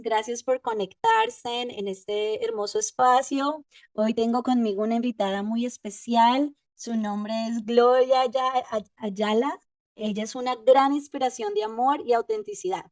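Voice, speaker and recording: A woman hosting a podcast, welcoming and interviewing a guest, speaking at times haltingly with drawn-out pauses. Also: on the high side, dry recording, recorded from a distance. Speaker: podcast host.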